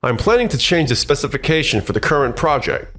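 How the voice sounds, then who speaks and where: Manga dub role: pushy, self-important corporate schemer voiced by a man, mid-pitched, very animated, music underneath. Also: brisk. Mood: assured. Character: scheming, self-important male newly transferred office manager. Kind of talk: scripted manga dub acting.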